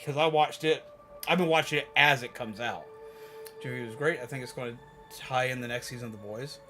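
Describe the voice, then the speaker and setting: Bright, forceful male streamer. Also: mid-range voice, brisk, soft background music. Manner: animated, emphatic, opinionated. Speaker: live streamer. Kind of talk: casual rambling chat with viewers while gaming.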